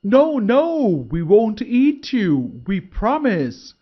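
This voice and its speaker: A man's voice: high-pitched, huge pitch swings, drawn-out words, slight background noise, voice pushed up, heavily acted. A man voicing a clever, cheerful young male deer in a children's storybook. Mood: calling out. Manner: bright, eager, raised voice. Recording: dull lo-fi recording.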